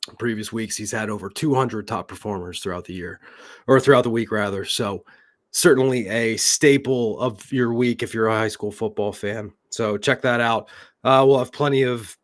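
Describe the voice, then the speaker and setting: A young man co-hosting a live high school football show, talking in a loose, rambling way with many fillers and losing his voice. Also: normal speed. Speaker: co-host. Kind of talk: live sports show chat.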